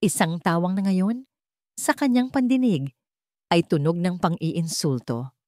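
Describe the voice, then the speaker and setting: Low-voiced Filipino woman narrating, dry close sound. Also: a little slow, darkish tone, no echo. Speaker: story narrator. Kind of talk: scripted dramatic narration of a romance drama story.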